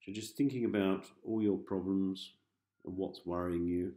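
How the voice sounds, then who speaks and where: A man guiding a sleep hypnosis session in a calm, gentle manner, giving repetitive soothing instructions with pauses. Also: low-pitched, echoey room. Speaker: hypnosis host, meditation guide.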